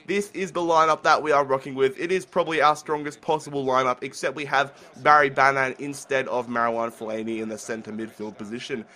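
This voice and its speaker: A young man commentating his football video game play while sick with the flu, talking casually and getting excited at chances. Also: medium pitch, a little fast, few pauses. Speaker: gaming commentator.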